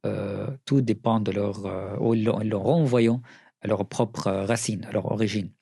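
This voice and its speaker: Man with a Syrian accent, gravelly and husky, mellow tone, dry close sound, earnest interview talk. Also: on the low side, fairly even intonation, a little slow, dry recording. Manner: earnest, with frequent hesitations and restarts. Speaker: writer and journalist, interview guest. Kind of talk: conversational interview answers.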